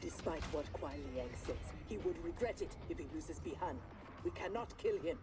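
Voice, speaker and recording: A woman's voice: fairly low pitch, animated, moderate pace, loud music behind the voice, natural voice. A woman playing a determined, duty-bound cyber ninja in a video game, resolute and urgent. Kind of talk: video game cutscene acting.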